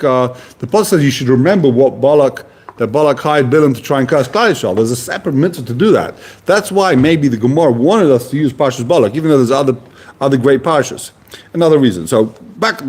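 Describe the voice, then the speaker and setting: A man's voice: medium pitch, a little mellow, husky. A man giving a casual Talmud class, explaining Hebrew and Aramaic terms in plain conversational English. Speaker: Talmud teacher.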